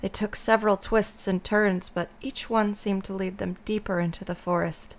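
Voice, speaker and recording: Mid-pitched woman narrating a story. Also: steady tone, normal speed.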